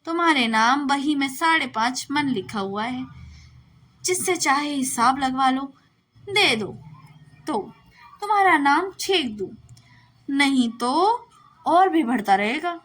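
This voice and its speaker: A woman's voice: high-pitched, expressive, takes it slowly, pauses often, fairly bright tone, exaggerated voice. A woman voicing a stern, grasping male village priest turned moneylender, accusing and unyielding, in a storybook reading.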